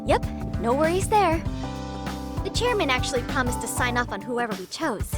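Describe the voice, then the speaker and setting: Game role: confident teenage club girl, high animated voice, formal, teasing, scheming; music behind. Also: normal speed. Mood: breezy, wry. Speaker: student club president character. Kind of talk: English game voice acting. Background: background music.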